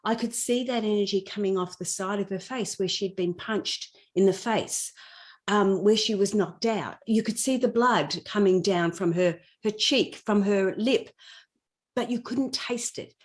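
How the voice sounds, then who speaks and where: A woman's voice: mid-range voice, unhurried. A middle-aged woman speaking as a podcast guest in an earnest, explanatory way, repeating phrases for emphasis as she shares in conversation. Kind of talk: conversational personal storytelling and explanation.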